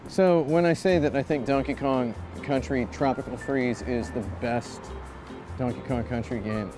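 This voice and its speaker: A man in his thirties from Indiana rambles through a self-mocking story in a review video, with a mid-range voice. Clear music plays behind him. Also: mid-range voice, fairly even intonation, normal speed. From Indiana, USA. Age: 30s.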